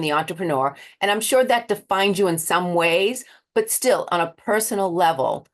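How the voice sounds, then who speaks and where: Female interview host, American, deep-pitched voice, chatty and conversational.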